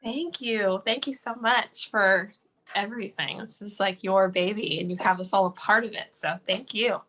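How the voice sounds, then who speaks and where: A young adult woman from the United States chatting as an interview guest over a video call, animated and warm, with many fillers and restarts. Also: medium pitch, normal speed, slight background noise. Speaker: guest, professional dancer. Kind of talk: casual interview chat.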